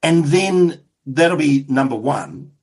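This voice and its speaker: A New Zealand man talking as a guest in a casual interview, his voice husky and a little rough. He speaks in halting runs, restarting phrases and leaning on fillers as he works through his points. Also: fairly high pitch, expressive, darkish tone. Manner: halting, frequent restarts and "you know" fillers. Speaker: television producer, guest.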